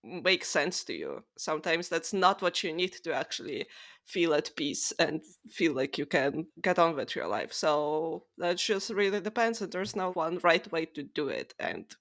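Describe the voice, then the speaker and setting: A woman's voice: low voice, big pitch swings, moderate pace, fairly bright tone. A woman co-hosting a podcast, discussing books casually in informal, conversational phrasing. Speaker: podcast host.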